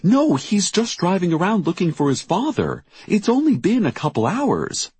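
A man's voice: mid-range voice, sing-song, normal speed, darkish tone, breathy edge, no room sound, voice pushed up, heavily acted. A man voicing an anxious, tearful mother who frets and protests about her missing son in an audiobook story. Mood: panicked.

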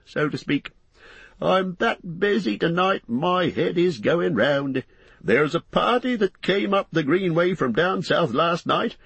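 A man's voice: a little high, a bit bright, breathy edge, no echo, pitched above the performer's own voice, full character voice. A man voicing a fat, harried, talkative innkeeper in an audiobook, chattering breathlessly in rustic, folksy phrases. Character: fat, bustling, harried, talkative middle-aged male innkeeper. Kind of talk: audiobook character voice.

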